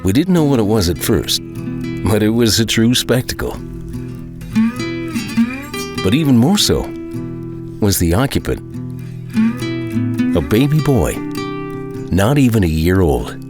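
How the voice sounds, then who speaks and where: A low, expressive small-town dad tells his story in an audio drama. He is plainspoken, wry and a bit apprehensive, conversational over prominent music. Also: a little slow, long pauses. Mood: wry storytelling. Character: plainspoken, apprehensive Kansas farm-town husband and father. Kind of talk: first-person audio drama narration and dialogue.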